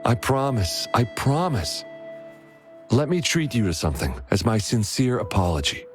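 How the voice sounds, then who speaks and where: A man voicing a gentle, caring, apologetic young man in a video game dub, with music behind him. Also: medium pitch, big pitch swings, moderate pace, natural voice. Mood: contrite, reassuring.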